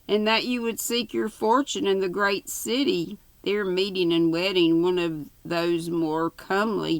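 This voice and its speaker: A woman's voice: fairly low pitch, gravelly, a bit bright, dry recording, exaggerated voice. A woman voicing a coy young farmer's daughter in love, gushing and demure in a flowery storybook melodrama.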